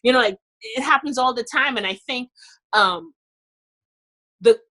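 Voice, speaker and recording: A woman's voice: fairly high pitch, normal speed, sharp tone. A woman with a Trinidadian accent, a podcast guest talking in a casual, emphatic way, often adding "you know". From Trinidad and Tobago. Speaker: podcast guest, dentist and author. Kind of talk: casual interview chat.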